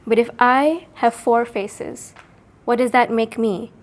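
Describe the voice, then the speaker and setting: A woman from Sarawak, Malaysia, recites her own poem as a spoken word piece. Her voice sits slightly high, and she lets each line land before she moves on. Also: steady tone. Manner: measured, deliberate, with pauses between phrases. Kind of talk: spoken word poetry performance.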